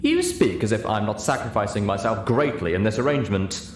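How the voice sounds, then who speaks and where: A man's voice: fairly low pitch, animated, a little fast, natural voice. A man voicing a vain, haughty elderly unicorn stallion prince with a pompous manner in an audiobook story. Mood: haughty, offended. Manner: pompous, self-admiring. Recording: roomy echo, clear background hiss.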